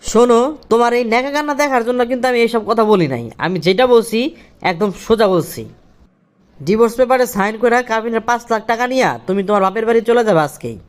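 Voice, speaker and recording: A man's voice: very high-pitched, expressive, quick pace, clear, resonant tone. A man playing an irritable, scornful two-timing husband and office boss in a cartoon drama, curt in manner. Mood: harsh, angry. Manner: scolding, curt.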